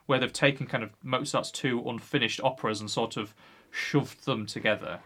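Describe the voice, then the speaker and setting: A man's voice: mid-range voice, fairly even intonation, pushed and bright. A man hosting a casual opera podcast from a radio studio, chatty with frequent restarts. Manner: quick, chatty, restarts often. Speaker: podcast host.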